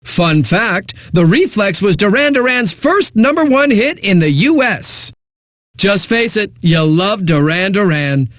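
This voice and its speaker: An actor playing a cocky, flippant eighties-hits radio DJ in a video game, with smug, punchy announcing and dramatic pauses. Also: male voice, sits high, expressive, a bit bright, no room sound, clean studio sound. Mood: hyped. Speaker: radio DJ, host. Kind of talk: 1980s music radio DJ patter.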